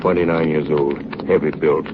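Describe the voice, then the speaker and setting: Elderly navy chief engineer, low-voiced, plainspoken, looking back; vintage radio drama, heavy hiss. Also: male voice, flat delivery, normal speed. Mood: recounting. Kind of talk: 1930s radio drama narration. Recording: muffled, noisy 1930s radio recording.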